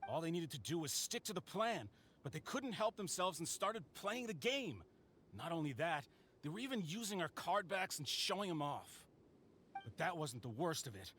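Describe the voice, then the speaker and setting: A man's voice: on the high side, very animated, normal speed, fairly bright tone, slight background noise. An actor playing an indignant, blustering bandit henchman in a video game, informal and defensive. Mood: exasperated.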